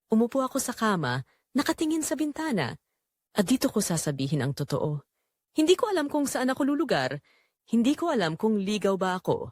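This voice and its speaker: Expressive woman narrating a drama. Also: medium pitch, no echo, clean studio sound. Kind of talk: dramatic first-person scripted narration.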